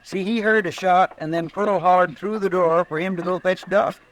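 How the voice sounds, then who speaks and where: An actor playing stubborn, folksy frontier men in a 1950s radio western, with an American rustic drawl and plain country talk. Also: male voice, sits high, fairly even intonation, normal speed, slight background noise, lightly acted, poor audio. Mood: earnest.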